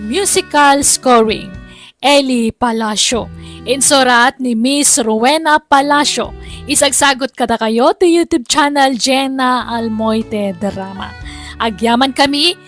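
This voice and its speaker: A woman's voice: high voice, slow, a bit bright. A woman with an Ilocano Filipino accent reading a scripted introduction as announcer of a drama programme. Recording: music bed under the voice. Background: music.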